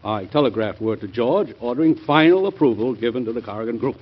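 A man's voice: medium pitch, lively intonation, quick pace, husky. A man playing an earnest cabinet secretary who defends his honor formally and emphatically, in 1940s radio drama style. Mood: earnest, explanatory. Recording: dull old radio drama recording.

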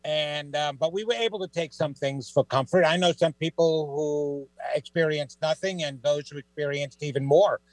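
A middle-aged man with a New York accent, a psychic guest on a talk show, telling stories in a lively, joking way. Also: on the high side, moderate pace, hardly any pauses, no room sound, poor audio. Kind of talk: casual radio chat.

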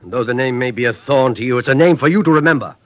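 A man's voice: medium pitch, very fast, natural voice. A man acting a boastful, gullible middle-aged French factory owner in a 1950s radio drama, theatrical and expansive. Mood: excited, boastful. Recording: muffled vintage radio recording.

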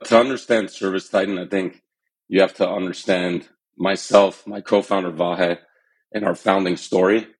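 A man in his thirties, a company founder telling his founding story in a podcast interview, earnest and measured. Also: deep voice, fairly even intonation, breathy edge. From Armenian, born in Iran, raised in the US. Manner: earnest and measured, some fillers. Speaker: startup founder and CEO, podcast guest.

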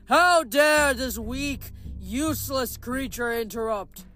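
A woman voicing a cruel, huge male giant king, scornful and booming. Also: lively intonation, moderate pace, soft background music, close to an everyday voice. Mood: furious.

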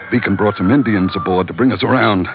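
An actor playing a rough, loyal, grumbling first mate with a slangy, sardonic manner in an old-time radio drama. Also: male voice, lowish voice, quick pace, natural voice. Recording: noisy, muffled old radio recording.

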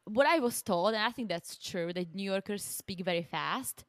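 A woman from the Republic, a podcast guest in casual chat, easygoing and quick to laugh. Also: mid-range voice, expressive, dry recording. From Czech Republic.

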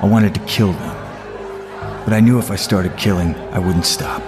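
A man's voice: deep voice, fairly even intonation, moderate pace, lightly acted. An actor playing a brooding, haunted retired male vigilante in an audio drama, grave and confiding. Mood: haunted, confessional. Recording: music behind the voice.

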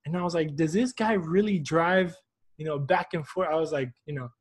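A man's voice: fairly high pitch, normal speed. A man from Houston hosting a casual, informal soccer interview show, chatting in friendly slang. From Houston, United States.

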